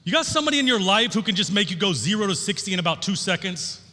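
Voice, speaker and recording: A man's voice: sits high, sing-song, rapid-fire, bright, forceful tone. A middle-aged man preaching as a pastor, telling personal stories in an informal, folksy, candid way. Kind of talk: sermon with personal storytelling. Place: large church auditorium, on stage. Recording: long hall reverb from sound system.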